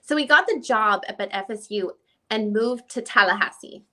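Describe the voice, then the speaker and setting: A woman playing a methodical, probing lawyer who puts formal, deliberate questions in a dramatic deposition reading. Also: medium pitch, pushed and bright.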